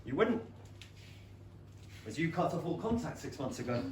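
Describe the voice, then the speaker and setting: A man acting a proud, wounded period gentleman in a staged reading, speaking formally and eloquently of hidden love. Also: a little high, sing-song. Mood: bitter, wounded. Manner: formal, measured, eloquent theatrical delivery. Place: small London theatre stage, filmed. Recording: echoey theatre, distant mic, noisy room tone.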